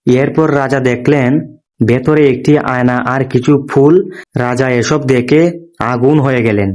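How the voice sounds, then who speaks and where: A man's voice: mid-range voice, fairly even intonation, a little slow, darkish tone. A man narrating a moral fable as scripted storytelling.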